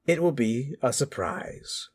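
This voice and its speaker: A man's voice: mid-range voice, lively intonation, slow pace, husky, pitched above the performer's own voice. A man voicing a doting, naive tourist mother in a scary story. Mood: cheerful, doting.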